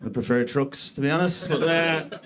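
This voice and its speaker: A man in his thirties with an Irish accent, doing a deadpan, self-mocking stand-up set in a comedy club. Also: a little high, moderate pace. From Ireland. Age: 30s. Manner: deadpan self-mocking delivery with crude punchlines. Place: comedy club stage before a live audience.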